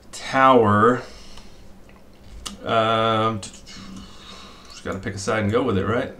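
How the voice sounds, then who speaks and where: A man's voice: medium pitch, echoey room. A middle-aged man walking viewers through a screen share from a desk in a small room, thinking aloud with lots of "um" and "uh". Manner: explanatory, thinking aloud with fillers. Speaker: fishing spot analyst.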